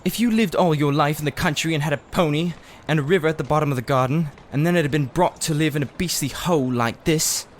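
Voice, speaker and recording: A man's voice: a little high, slight background noise, voice pushed up, close to an everyday voice. A man voicing a miserable, blunt young boy in a storybook, blurting out his words in a rush. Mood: upset, tearful. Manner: breathless, rushed, blurting complaints.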